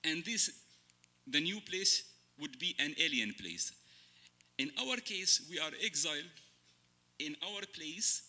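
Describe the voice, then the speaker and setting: A man whose first language is Arabic, a writer on a panel, speaking slowly and searching for words in English. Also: a little high, pushed and bright. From Palestine. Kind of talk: panel discussion. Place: stage.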